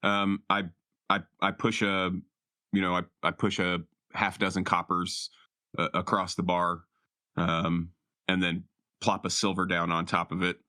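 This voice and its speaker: Deep, bright-voiced man playing a tabletop game. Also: level tone, unhurried, no room sound, clean studio sound. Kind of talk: casual in-game chat.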